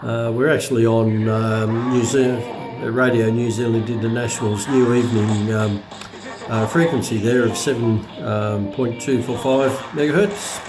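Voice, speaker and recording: A man presenting a product review in a casual, unscripted way, explaining features in a hesitant, halting manner. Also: medium pitch, steady tone, music in the background, rough recording.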